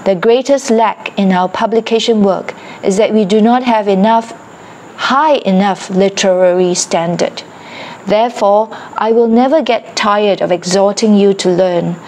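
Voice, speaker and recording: A woman reading a ministry passage aloud in a slow, earnest, deliberate way. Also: mid-range voice, a little mellow, slight background noise. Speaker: reader of a ministry excerpt.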